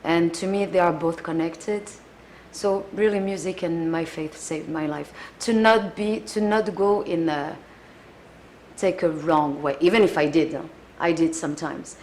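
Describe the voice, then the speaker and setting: A woman with a French accent and a somewhat low voice talks earnestly in an interview, reaching for her English words and filling gaps with "like." A faint hum of room ambience sits behind her. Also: fairly even intonation, unhurried, darkish tone. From France. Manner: earnest, searching for words, many "like" fillers. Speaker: singer, interview guest. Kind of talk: casual interview answers.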